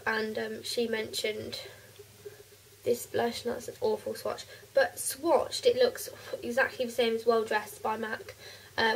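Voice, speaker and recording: A teenage girl with a cold and a croaky, stuffy voice, chatting casually through a makeup haul in her bedroom, with lots of ums. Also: fairly high pitch, recorded from a distance, a little hiss or hum, rough recording. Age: teens. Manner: chatty, rambling with many ums. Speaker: beauty vlogger. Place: bedroom, indoors.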